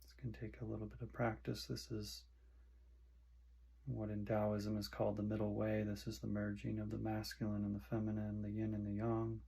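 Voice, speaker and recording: A man with a fairly low, gravelly voice leads a guided meditation. His delivery is flat and unhurried, broken into short soothing phrases with long pauses between them.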